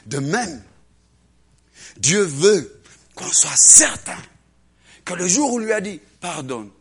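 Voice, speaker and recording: A man preaching to a congregation with a high voice that swoops up and down. He acts out little voices as he goes. His delivery keeps swinging between loud bursts and hushed asides. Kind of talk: animated sermon.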